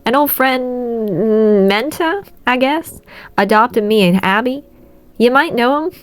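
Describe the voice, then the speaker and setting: A woman's voice: on the high side, lively intonation, slow, pitched above the performer's own voice. Woman voicing a cheeky, confident teenage girl. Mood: cheerful.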